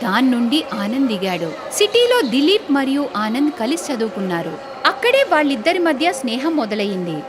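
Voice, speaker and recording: Female cartoon-story narrator, lively intonation, high pitch, clear noise behind. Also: normal speed. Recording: clearly noisy background.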